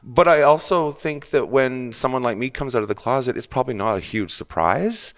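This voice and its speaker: A man with a low voice and a Canadian accent, speaking bluntly and defiantly as a podcast guest in candid conversation. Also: lively intonation, normal speed.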